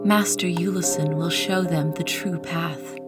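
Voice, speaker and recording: A woman playing a fanatical, devout servant of a psionic master, telling the tale with reverent, deliberate intensity in a dramatic first-person story. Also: fairly low pitch, steady tone, loud music behind the voice, close to an everyday voice. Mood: cold, reverent. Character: fanatical mind-controlled female psionic servant, devoutly worshipping her master.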